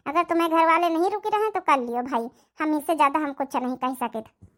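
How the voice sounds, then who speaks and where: A woman's voice: high voice, brisk, close to an everyday voice. A woman playing a blunt, dutiful young village woman in a cartoon drama, speaking forthrightly with an Awadhi accent. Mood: resigned, defensive. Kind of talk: cartoon comedy-drama dialogue in village dialect.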